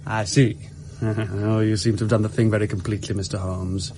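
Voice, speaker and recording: An actor playing a haughty, captured gentleman criminal in a radio drama, speaking with formal, pompous courtesy. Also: fairly low pitch, level tone, normal speed, background noise, close to an everyday voice. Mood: cool, composed. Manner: pompous, coolly polite, formal.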